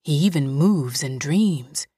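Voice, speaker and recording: A steady, soothing older woman in a storybook, speaking low and unhurried, as a woman reader puts her on. The voice is husky and dry, recorded close. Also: dry recording, full character voice.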